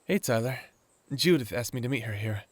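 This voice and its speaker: A man's voice: mid-range voice, expressive, brisk, dry recording, close to an everyday voice. A man acting a friendly, good-natured werewolf in an audio drama.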